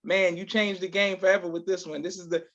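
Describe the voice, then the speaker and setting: A man's voice: high-pitched, level tone, quick pace, a bit bright. A man hosting a casual live interview, effusive and affirming, often repeating his words. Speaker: podcast host. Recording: dull, lo-fi online call audio.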